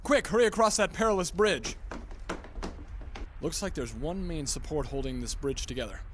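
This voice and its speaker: A man's voice: on the high side, lively intonation, normal speed, a little hiss or hum, lightly acted. A man playing a cocky, flirtatious adventurer hero in a radio drama, with breezy, glib, pulpy delivery. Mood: urgent.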